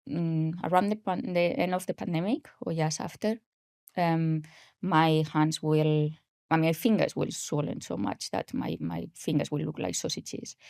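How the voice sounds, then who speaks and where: A woman's voice: low-pitched, creaky, darkish tone, no room sound, studio quality. A woman in her forties with a Spanish accent, a podcast guest talking casually and hesitantly with many fillers. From grew up in Spain. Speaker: podcast guest, health coach. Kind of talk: casual interview talk.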